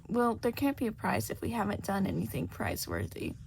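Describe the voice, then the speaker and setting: A woman's voice: mid-range voice, wildly swooping pitch, a little fast, creaky, a little mellow, slight background noise, low-quality recording. A woman voicing a sour, easily offended talking mouse from a storybook, complaining matter-of-factly. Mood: sour, resigned. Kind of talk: storybook character voice.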